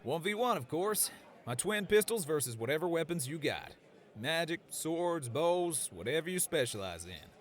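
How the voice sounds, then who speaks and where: An actor voicing a cocky, easygoing fantasy gunslinger with a Western American accent, drawling and teasing in slang. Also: male voice, on the high side, sing-song, normal speed. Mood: cocky, challenging.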